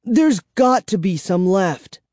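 A man's voice: high voice, lively intonation, slow, warm tone, no room sound, clean studio sound, voice pushed up. A man voicing a frightened child in a spooky storybook, speaking with urgent anxiety. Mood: anxious. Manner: urgent, tense exclamations. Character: anxious, frightened child narrator in a vampire tale.

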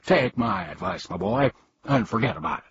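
A man's voice: mid-range voice, very animated, normal speed, vocal fry, lo-fi sound, a little hiss or hum, voice pushed up. A man voicing a pompous, blustering old male humbug bug who declaims and boasts in a storybook reading. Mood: boastful.

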